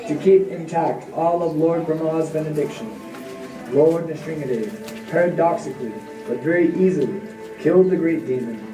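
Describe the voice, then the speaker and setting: A narrator of unclear sex reads a scripted devotional story in measured phrases. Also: medium pitch. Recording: echoey, distant, music behind.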